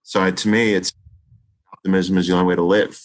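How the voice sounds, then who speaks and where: A man's voice: low-pitched, little pitch movement, quick pace. A man in his forties, a teacher, talking earnestly and reflectively in an interview, often restarting his sentences. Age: 40s. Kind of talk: interview conversation.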